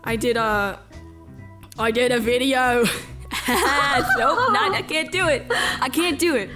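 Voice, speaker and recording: A woman's voice: high voice, animated, slow, over music. A young woman talking casually as an interview guest, giggly and self-deprecating. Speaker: guest, amateur voice actor. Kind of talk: casual chat.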